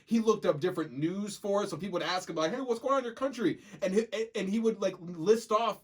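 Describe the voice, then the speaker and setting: Rambling, stammering young man, slightly high. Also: fast talker, husky. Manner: rambling storyteller, many restarts and stammered fillers. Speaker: podcast co-host. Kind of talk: casual chat.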